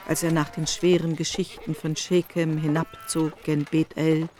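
Lower-pitched female literary narrator, measured. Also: level tone, dark tone, slight background noise.

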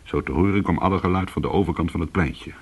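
An actor playing a weary, hard-boiled former cat burglar, telling his story in an intimate, brooding and deliberate way in a radio play. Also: sits low, breathy edge. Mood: hushed, suspenseful.

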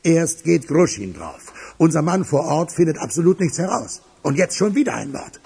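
A man's voice: fairly high pitch, very animated, normal speed, vocal fry, dark tone, breathy edge, exaggerated voice. An actor playing an impatient, domineering boss in a German radio crime drama, commanding and sardonic with his subordinates. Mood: angry.

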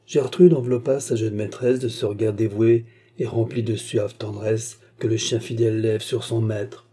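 Very dark, husky male audiobook narrator. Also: on the low side, fairly even intonation, normal speed. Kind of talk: literary scripted narration.

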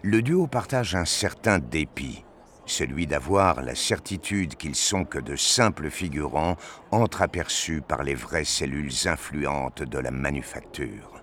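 A man with an extremely low voice narrates an audio fiction story. He reads slowly, with lively rises and falls in pitch. There is faint background noise.

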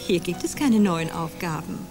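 An actress playing a calm, ironic woman who quietly accuses two men in a radio play, music behind her. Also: lowish voice, normal speed.

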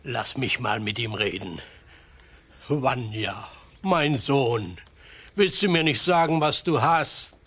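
An actor playing a worried, earnest peasant father in a German children's radio drama, husky, solemn and beseeching. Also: medium pitch, slow pace, fairly bright tone, exaggerated voice. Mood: pleading.

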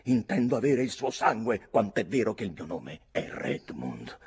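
A man's voice: fairly low pitch, sing-song, moderate pace, darkish tone, slightly rough, full character voice. A man voicing a hot-headed, boastful teenage boy in a story, declaiming defiantly.

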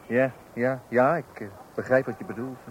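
An actor playing a mild, easily unsettled new father in his forties in a radio play, with a hesitant, halting way of talking. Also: mid-range voice, steady tone, close to an everyday voice. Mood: hesitant, conceding. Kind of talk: radio play acting and wry narration. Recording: noisy old radio play recording.

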